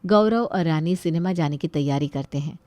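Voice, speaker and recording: A woman's voice: low voice, moderate pace, darkish tone, no room sound. A woman narrator reading a scripted family story.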